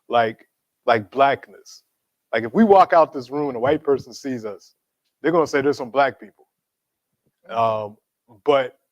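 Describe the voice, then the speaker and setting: A man moderating a Q&A after a student talk, asking questions in a relaxed, conversational way. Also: medium pitch, dry recording, dull audio. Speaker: program facilitator.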